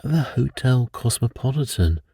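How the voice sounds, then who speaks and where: A man voicing an earnest, polite gentleman doctor, a detective's companion, in a bedtime story. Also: medium pitch, animated, normal speed, slightly rough, dry recording, studio quality, voice pushed up. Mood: recollecting.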